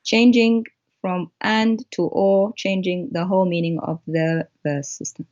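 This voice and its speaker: A woman whose first language is Arabic, a guest explaining text on screen in a halting way with many pauses. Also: fairly low pitch, fairly even intonation, a little mellow, dull audio. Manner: halting, pointing things out.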